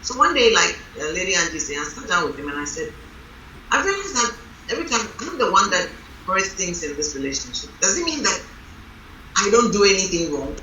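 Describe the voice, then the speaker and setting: A woman reverend leading a panel on marriage, talking in a loud, strong voice and telling stories with emphasis. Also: lowish voice, a little hiss or hum. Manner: emphatic, storytelling. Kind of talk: panel discussion. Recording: roomy hall from a distant mic.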